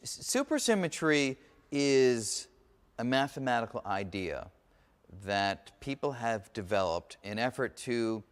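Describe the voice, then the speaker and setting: A man giving an explanatory science lecture in halting, emphatic phrases, as a lecturer would. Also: medium pitch. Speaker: physics lecturer. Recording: echoey hall reverb.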